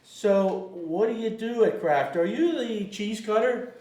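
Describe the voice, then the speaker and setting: Nosy wisecracking fisherman, acted by a man, high-pitched and teasing, recorded in a roomy space. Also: unhurried, mellow. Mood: needling. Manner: needling, mock-impressed. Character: nosy needling fishing buddy, mocking jokester. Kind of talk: screenplay table read. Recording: echoey room.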